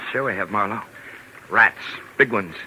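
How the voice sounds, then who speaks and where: An actor playing a vengeful, menacing American ex-convict with slangy tough talk in a 1940s radio crime drama. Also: male voice, lowish voice, normal speed, pushed and bright. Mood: bitter, brooding. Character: bitter, vengeful ex-convict thug with a knife. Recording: noisy old 1940s radio recording.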